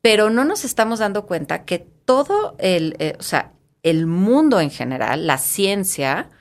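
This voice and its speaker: A woman's voice: medium pitch, very animated, measured pace. A middle-aged woman with a Mexican accent, a guest in an interview, talking eagerly and often restarting or repeating her words. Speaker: guest, fitness studio founder. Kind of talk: casual interview talk.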